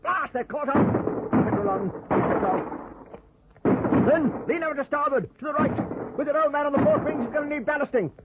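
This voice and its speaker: A man playing a tough, brusque pilot in a radio drama, giving urgent clipped orders in a husky voice. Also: very high-pitched, normal speed, heavy background noise. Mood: frantic shouting. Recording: dull old radio recording.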